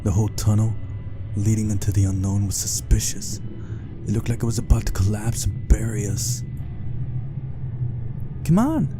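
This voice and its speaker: A man's voice: fairly low pitch, level tone, brisk, loud music behind the voice. A man reading a visual novel's story aloud as scripted first-person narration for a playthrough.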